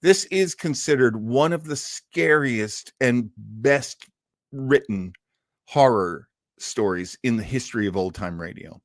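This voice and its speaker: A man's voice: mid-range voice, lively intonation, slow, dry recording, studio quality. A man hosting a show with a casual, jokey, somewhat hesitant introduction. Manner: hesitant, self-deprecating, jokey. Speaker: host.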